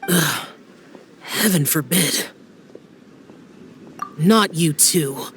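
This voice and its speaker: An actor playing a reserved young immortal guardian, terse and stiffly respectful, in an anime game dub. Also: male voice, fairly high pitch, expressive, very slow, fairly bright tone, a little hiss or hum, exaggerated voice. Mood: flustered, deferential.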